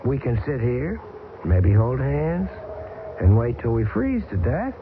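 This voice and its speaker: A man's voice: mid-range voice, very animated, measured pace. An actor playing a wry, wisecracking American man, scared but determined, in a 1970s radio drama. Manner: wry, folksy banter. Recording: hissy old radio broadcast, tinny.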